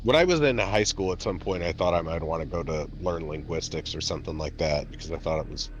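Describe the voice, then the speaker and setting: A man's voice: sits low, quick pace, dull audio, soft background music. A middle-aged man chatting casually as a podcast co-host, with an encouraging, agreeable manner. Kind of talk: casual chat.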